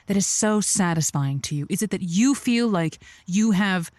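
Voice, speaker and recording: A woman playing an earnest, wordy radio advice host, speaking in a thoughtful, halting way with florid vocabulary. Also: medium pitch, expressive, darkish tone, no echo, natural voice. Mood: thoughtful, concerned. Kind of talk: improvised-sounding advice radio show acting.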